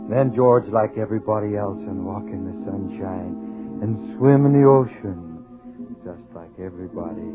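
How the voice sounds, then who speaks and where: An actor playing a slow-witted, menacing madman with halting, childlike broken speech in a vintage radio horror drama. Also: on the low side, rough recording. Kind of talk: 1940s radio horror acting. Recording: old radio recording with music.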